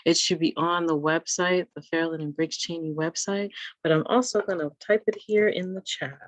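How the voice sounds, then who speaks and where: A woman's voice: fairly low pitch, steady tone, moderate pace. A woman moderating an online community meeting as co-host, encouraging and welcoming to those taking part. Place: indoor room, online meeting. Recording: roomy, muffled video-call audio.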